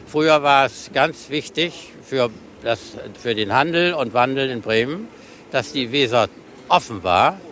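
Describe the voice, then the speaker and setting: A man explaining a custom in a news interview, slightly halting. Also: medium pitch, animated, a bit bright, a little hiss or hum.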